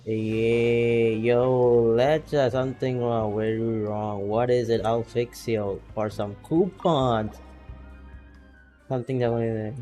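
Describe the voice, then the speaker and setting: A man's voice: mid-range voice, steady tone, slow pace, warm tone. A young man casually commenting while playing a game and reading its text aloud, rambling and playful. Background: game music.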